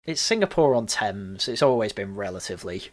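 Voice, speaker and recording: A man talking politics casually on a panel podcast, halting and repeating words as he argues his point. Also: on the low side, lively intonation.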